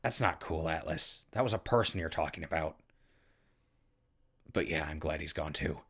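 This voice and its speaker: A man playing an easygoing, friendly ghoul radio broadcaster in a post-apocalyptic audio drama, husky and gravelly, talking in a casual way. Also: a bass voice, moderate pace, a bit bright, heavily acted. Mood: subdued, earnest. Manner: casual, conversational.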